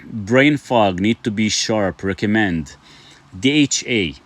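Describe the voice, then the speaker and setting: A man's voice: on the low side, animated, takes it slowly. A middle-aged man from Lebanon hosting a casual live stream, reading viewer comments aloud and answering them in a chatty way, full of fillers.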